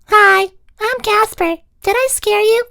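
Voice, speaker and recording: A man's voice: extremely high pitch, slow pace, vocal fry, sharp tone, dry recording, studio quality, pitched above the performer's own voice, heavily acted. A man voicing a tiny, cheerful, childlike friendly ghost. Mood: eager.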